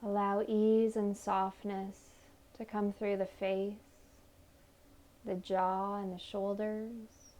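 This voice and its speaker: A woman leading a guided meditation in a soothing, gentle manner, offering unhurried invitations. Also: medium pitch, flat delivery. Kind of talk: guided meditation, body scan narration.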